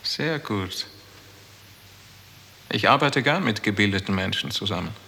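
An actor playing a smug, cynical superior with poetic pretensions in a 1960s German radio drama, suave and sardonic. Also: male voice, lowish voice, animated, measured pace, vocal fry, pushed and bright, heavily acted. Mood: amused, sardonic. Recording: reverberant hall, 1960s radio production.

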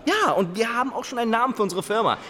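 A man's voice: very high-pitched, animated, racing, sharp tone, full character voice. A man playing a starstruck, gushing young computer geek in a radio drama, exclaiming in short bursts, with noise around him. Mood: eager, excited. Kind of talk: German radio drama acting.

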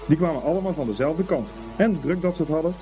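A man narrating a scripted story for a Dutch radio play in an expressive, unhurried storytelling manner. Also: fairly high pitch. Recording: dull old radio recording, faint music.

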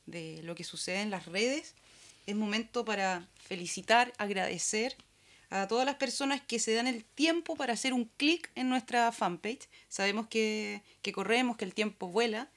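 A woman's voice: on the high side, moderate pace, fairly bright tone. A woman with a Chilean accent, co-hosting a radio show with casual talk and scripted announcements.

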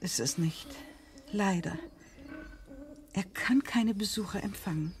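An actress playing a curt, unwelcoming vicarage housekeeper in a radio drama, guarded in manner. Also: female voice, mid-range voice, slow, a little mellow, a little hiss or hum, close to an everyday voice. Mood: curt, dismissive. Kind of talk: German radio drama acting.

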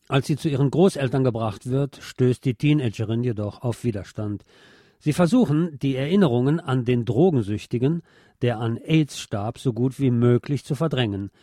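A man's voice: mid-range voice, warm tone, no room sound, clean studio sound. A man presenting a film review as a show host, partly scripted.

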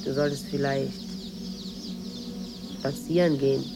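An actress in a radio drama playing a watchful maid, deferential on the surface but measured and needling. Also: female voice, very deep voice, little pitch movement, vocal fry, very noisy, exaggerated voice. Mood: deferential, coaxing. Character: watchful, insinuating Moroccan maid, outwardly deferential, quietly defiant. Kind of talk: 1980s German radio drama acting.

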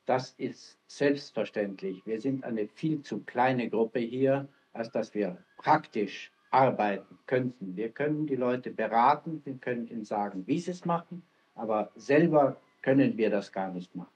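An actor playing a German rocket professor who speaks in a formal, lecturing way, in a dull, archival-sounding interview recording. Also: male voice, mid-range voice, animated, measured pace, a little mellow, close to an everyday voice.

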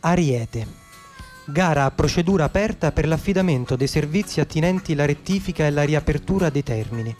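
An actor playing a mock-earnest co-announcer on a satirical army radio programme, reading scripted items in a formal, emphatic style. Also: male voice, on the high side, animated, brisk, darkish tone, soft background music, lightly acted. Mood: brisk bulletin. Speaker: radio co-presenter.

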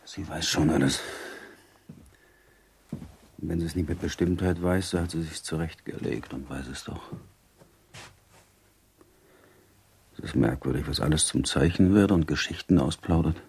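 Very deep-voiced, brooding Prussian baron. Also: male voice, normal speed, a little mellow, slight background noise. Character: brooding, rigidly self-controlled Prussian baron and betrayed husband. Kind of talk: German radio drama acting.

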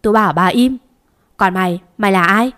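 A woman's voice: mid-range voice, a little fast, close to an everyday voice. Woman voicing a furious stern husband. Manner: shouting, interrogating. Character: stern angry wealthy older husband, commanding.

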